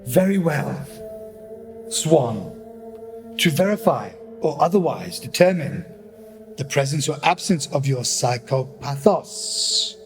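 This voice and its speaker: A man playing an indignant, pompous marquis in a radio play, declaiming formally. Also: medium pitch. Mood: imperious. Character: indignant pompous marquis making grand accusations. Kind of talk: theatrical radio play acting.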